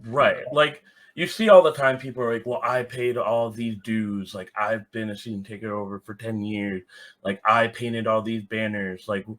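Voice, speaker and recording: Bright, forceful low-voiced man talking candidly. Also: steady tone, moderate pace. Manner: blunt, swearing, heavy use of "like". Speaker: podcast guest, soccer supporters group organizer. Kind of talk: casual, candid podcast conversation.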